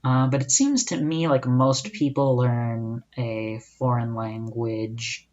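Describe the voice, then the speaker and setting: A man's voice: medium pitch, slow, darkish tone. A young man hosting a solo podcast, talking casually with rhetorical asides and frequent self-corrections. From United States. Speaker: podcast host. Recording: dull lo-fi recording.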